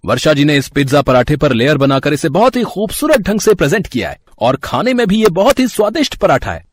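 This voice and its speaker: A man voicing a formal, admiring cooking contest judge in an animated moral story, in an announcer's declamatory manner. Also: a little high.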